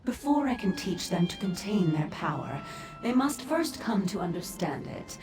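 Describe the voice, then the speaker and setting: An imperious demon ruler for a fantasy game, in a lowish voice with wide, dramatic swings. She is solemn and commanding, wrapped in echo. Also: big pitch swings, moderate pace, noisy background. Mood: solemn, instructive. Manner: stately, commanding, formal. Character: imperious, solemn female demon clan leader. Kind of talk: formal fantasy video game voice acting. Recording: echoing voice over game music.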